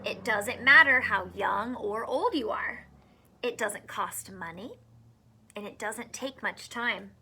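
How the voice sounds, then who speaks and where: A woman's voice: mid-range voice, takes it slowly, bright, forceful tone, breathy edge. A woman teacher reading a picture book aloud to children, adding encouraging asides and questions.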